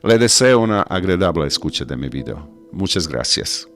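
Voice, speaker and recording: A man hosting an interview in a language that is not his first, speaking haltingly with many pauses and fillers. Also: sits low, big pitch swings, over loud music. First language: not Spanish. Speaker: host. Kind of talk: interview podcast. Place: home, video call.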